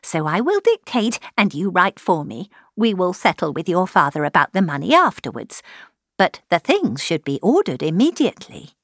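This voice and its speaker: A woman voicing an excitable, silly middle-aged mother in an audiobook, gushing and fussing in exclamations. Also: lowish voice, moderate pace, no room sound, studio quality, heavily acted. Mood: delighted. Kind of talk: audiobook character voice.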